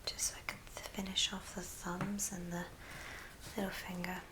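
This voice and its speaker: A woman whispers an unhurried, step-by-step craft tutorial in a breathy ASMR style, as the host demonstrating it. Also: on the low side, flat delivery. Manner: unhurried, murmured step-by-step narration. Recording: hissy background noise with small clicks.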